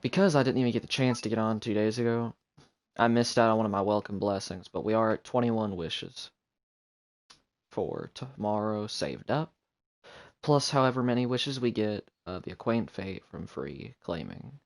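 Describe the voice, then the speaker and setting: A young adult man chatting casually on a live game stream in a rambling, halting, low-key way. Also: vocal fry. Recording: game music behind voice. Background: video game music.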